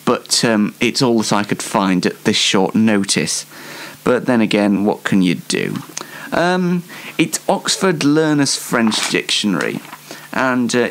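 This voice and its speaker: A man's voice: on the low side, rough recording. A man hosting a casual solo radio-style show, rambling along in a whimsical, self-deprecating way. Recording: music bed behind the voice. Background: loud plucked guitar music bed.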